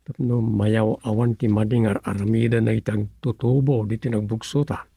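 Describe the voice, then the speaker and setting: A man's voice: fairly low pitch, fairly even intonation, normal speed, creaky, warm tone, slightly rough, no echo, studio quality. A man playing a scheming villager in an Ilocano radio comedy drama, musing and muttering with exclamations. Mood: musing, plotting.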